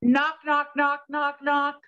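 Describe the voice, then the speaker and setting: A woman playing a brisk, no-nonsense 1920s lady detective in an audio drama. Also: high voice, completely flat delivery, pushed and bright, muffled recording, faint music behind, exaggerated voice. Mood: insistent.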